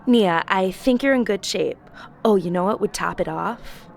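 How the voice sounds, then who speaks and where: An actress playing a casual, breezy modern high school girl in a fantasy audio drama. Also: normal speed, creaky, close to an everyday voice.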